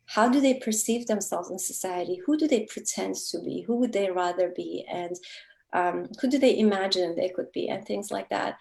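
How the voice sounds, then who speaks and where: A woman's voice: mid-range voice, creaky, darkish tone. Kurdish-born woman author, thoughtful, lots of ums while she explains. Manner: reflective, earnest, with frequent fillers. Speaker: author guest. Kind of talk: thoughtful interview answers.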